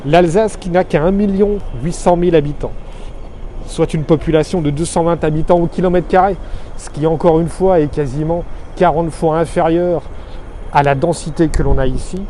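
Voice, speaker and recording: Mellow, higher-pitched man on a city street. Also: fairly even intonation, moderate pace. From Strasbourg, Alsace, France. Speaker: expatriate resident giving a video update. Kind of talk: informal spoken video report, explanatory. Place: outdoors on a busy city street corner. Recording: outdoor street noise, passing traffic. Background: faint urban traffic ambience.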